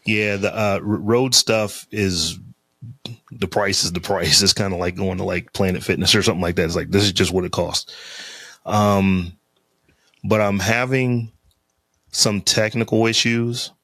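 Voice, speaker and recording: A man with a deep voice, co-hosting a podcast in loose casual chat full of fillers and restarts, on a close studio mic. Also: fairly even intonation, a little slow, fairly bright tone, no echo. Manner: rambling, loose talk with many fillers and restarts. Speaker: podcast co-host. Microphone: close studio mic on a podcast interface.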